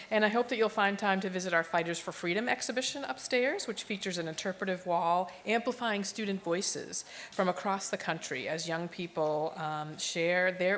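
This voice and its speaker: A woman's voice: lowish voice, fairly bright tone, slight background noise. A woman hosting and welcoming an audience from a museum stage, enthusiastic and chatty with fillers. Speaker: museum education chair, host. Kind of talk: welcome address. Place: stage in a historic museum building.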